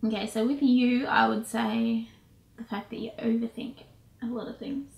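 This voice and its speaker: A woman's voice: on the high side, steady tone, normal speed, vocal fry, darkish tone. A woman in her twenties from South Sudan, a native Nuer speaker, chatting upbeat in a casual question-and-answer vlog. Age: 20s. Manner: chatty, upbeat, fillers like "you guys". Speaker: vlogger, co-host with partner. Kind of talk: casual Q&A chat. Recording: echoey room with faint noise.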